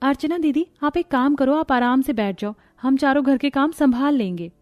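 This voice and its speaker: A woman's voice: high-pitched, moderate pace, dry recording, highs cut off, faint music behind, natural voice. A woman voicing a worried, dutiful young daughter-in-law in a cartoon story, speaking politely and pleadingly. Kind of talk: Hindi cartoon moral-story dialogue.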